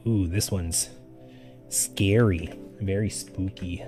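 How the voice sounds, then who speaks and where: A man giving casual art critique on a live stream, talking loosely with lots of fillers, his voice getting raspy. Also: deep voice, fairly even intonation, slow pace. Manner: loose and chatty, with many "like" fillers. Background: faint background music.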